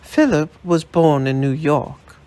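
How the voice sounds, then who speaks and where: A man's voice: fairly high pitch, slow, warm tone, pitched above the performer's own voice. Man playing a feisty, scoffing woman journalist. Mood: indignant.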